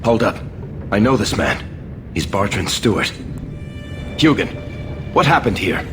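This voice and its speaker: An actor playing a concerned, take-charge companion in a fantasy video game. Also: male voice, fairly low pitch, sing-song, unhurried, over music. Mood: wary concern.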